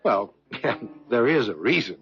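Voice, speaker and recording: An actor playing a smooth-talking, ambitious salesman, breezy and persuasive, in the style of 1970s radio drama. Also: fairly low pitch, sing-song, slow pace, faint music behind, full character voice. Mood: confident. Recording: dull 1970s radio recording.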